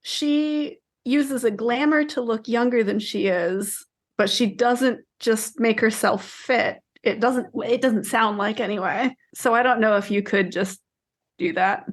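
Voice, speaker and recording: A woman co-hosting a book podcast, talking casually in a measured, explanatory way. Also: fairly high pitch. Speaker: podcast co-host discussing books.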